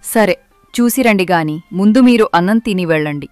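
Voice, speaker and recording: A woman's voice: mid-range voice, a little fast, darkish tone, clear, resonant tone, no room sound, faint music behind, natural voice. Woman playing a devoted poor beggar mother, close-sounding. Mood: caring. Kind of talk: dramatised moral story acting.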